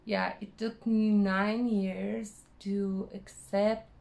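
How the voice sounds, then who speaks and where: A woman from Croatia with a Croatian accent, speaking English as a second language in a personal vlog, pausing often and searching for words. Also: medium pitch, flat delivery, creaky, darkish tone, echoey room. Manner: hesitant, many fillers, searching for words. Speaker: vlogger.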